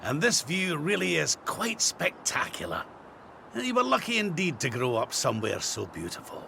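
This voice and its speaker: A man's voice: fairly high pitch, huge pitch swings, normal speed, vocal fry, sharp tone, a little hiss or hum, heavily acted. A man playing a jovial, doting old Scottish grandfather in a video game, folksy and affectionate. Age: older.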